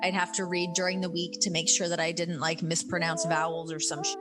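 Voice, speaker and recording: A woman's voice: on the low side, flat delivery, a little fast. Chatty woman in a podcast clip. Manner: chatty, with fillers like "like" and "um". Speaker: podcast host. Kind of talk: casual podcast chat. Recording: podcast clip under loud music.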